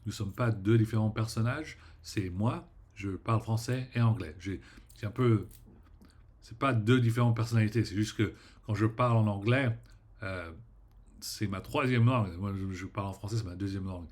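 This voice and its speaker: A French-speaking man rambling through a casual vlog monologue, halting and full of fillers, often trailing off quietly at the ends of phrases. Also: fairly low pitch. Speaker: vlogger.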